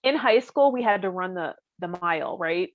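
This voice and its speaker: A woman's voice: fairly low pitch, animated, moderate pace, no room sound. Giggly woman podcast co-host, dull dry recording. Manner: giggly, self-deprecating. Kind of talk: casual comedic chat.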